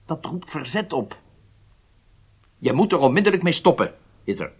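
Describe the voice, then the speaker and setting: An actor playing a senior Israeli statesman in a Dutch radio drama, deliberate and persuasive, conciliatory but firm. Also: a little high, very animated, slightly rough. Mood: urgent, firm.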